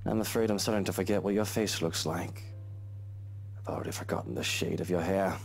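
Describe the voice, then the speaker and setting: An actor playing a brooding, grieving young gentleman in a visual novel game, speaking softly and wistfully in measured phrases. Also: deep voice, vocal fry. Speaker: video game character.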